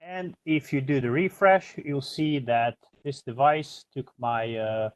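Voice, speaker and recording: A man giving an informal software tutorial as a product trainer, explaining step by step in a hesitant way. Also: medium pitch, slow, no room sound.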